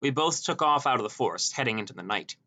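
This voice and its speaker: A man's voice: medium pitch, animated, quick pace, creaky, pushed and bright. A man reading a scripted first-person story as an audiobook narrator.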